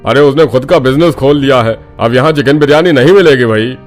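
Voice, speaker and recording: A man's voice: mid-range voice, brisk, soft background music, close to an everyday voice. A man playing a harried but good-hearted biryani cart owner in a cartoon. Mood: exasperated.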